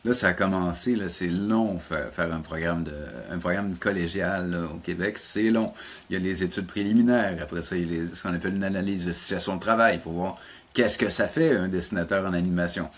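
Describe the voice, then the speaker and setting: Low-voiced middle-aged Quebec man. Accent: Quebec French. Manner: halting, repeats words while recounting. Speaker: college animation teacher, interview guest. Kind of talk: conversational interview answers.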